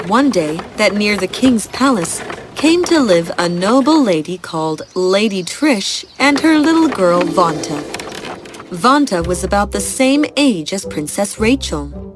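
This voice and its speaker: A woman narrating a scripted fairy tale as a storyteller. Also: mid-range voice.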